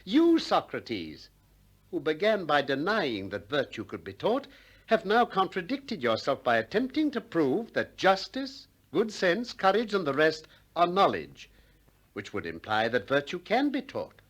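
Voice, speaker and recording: A courteous but relentless philosopher in a radio play. His pitch swings widely through measured, articulate questions. He presses each point with insistent care. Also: huge pitch swings, a bit bright, husky, full character voice. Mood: wry. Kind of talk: 1960s radio drama acting of philosophical dialogue.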